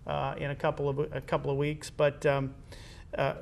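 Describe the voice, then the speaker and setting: A man co-hosting a casual sports conversation, agreeable and a little halting as he talks. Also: a little high, completely flat delivery, gravelly. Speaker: interviewer and co-host.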